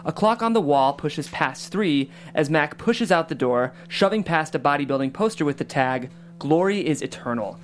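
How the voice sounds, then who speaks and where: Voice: medium pitch, expressive, moderate pace. A podcast host welcoming listeners and reading scene directions in a chatty, upbeat way. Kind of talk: hosting a table read and reading stage directions.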